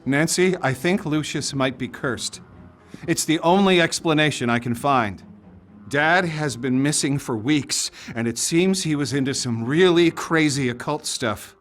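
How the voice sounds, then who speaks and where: A man's voice: fairly high pitch, measured pace, fairly bright tone. An actor playing a troubled middle-aged father in a video game cutscene, speaking in an earnest, uneasy way. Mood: worried. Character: troubled, worried father investigating his family's occult secrets. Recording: music under the voice.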